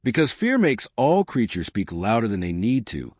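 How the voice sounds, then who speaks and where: Man voicing a calm, gentle human diplomat. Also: lowish voice, normal speed, no echo, studio quality. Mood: gentle, solemn. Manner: soft-spoken, gentle, certain. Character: quiet, calm, compassionate human male diplomat.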